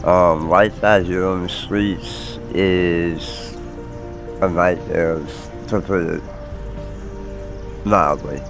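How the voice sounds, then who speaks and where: An American man in his forties giving halting, candid personal testimony in a street interview. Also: low-pitched, steady tone, music in the background. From Maryland, United States. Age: 40s. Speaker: homeless interviewee.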